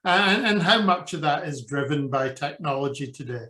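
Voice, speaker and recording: A man's voice: on the high side, animated, moderate pace, pushed and bright. A man in his sixties interviewing conversationally over a video call from a furnished room. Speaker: interviewer, teacher. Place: furnished home office or living room. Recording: roomy, echoing video call.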